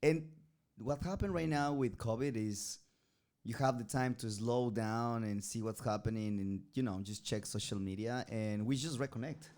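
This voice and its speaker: An Ecuadorian man hosts a relaxed podcast. He talks with excitable energy and laughs often. His voice jumps in loudness from word to word. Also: mid-range voice, moderate pace. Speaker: podcast host. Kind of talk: casual podcast chat.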